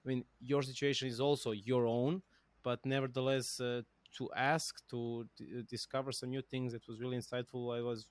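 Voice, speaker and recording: A man's voice: medium pitch, flat delivery, normal speed, no room sound. A native Serbian man hosting an interview podcast in English with a Serbian accent, rambling and thinking aloud with fillers. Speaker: podcast host. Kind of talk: conversational interview.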